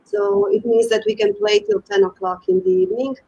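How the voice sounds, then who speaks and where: Creaky-voiced Croatian woman, dull recording. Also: medium pitch, big pitch swings, a little fast, dark tone. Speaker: guest, golf club sales and marketing manager. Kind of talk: conversational interview answers.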